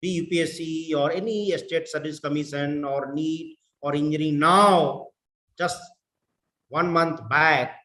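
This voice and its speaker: A middle-aged man from India with an Indian English accent, giving a deliberate lecture to fellow educators, repeating words and mixing in Hindi. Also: on the high side, steady tone, bright, forceful tone, reverberant. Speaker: professor.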